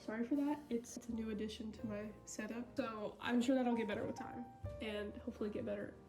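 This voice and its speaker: A young woman with a fairly high voice chats casually to camera from her home studio. She sounds congested from a cold, talks into her phone as a mic, and keeps apologizing with self-deprecating humor. Soft music plays underneath. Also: fairly even intonation, quick pace. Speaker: artist vlogger. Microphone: phone as microphone.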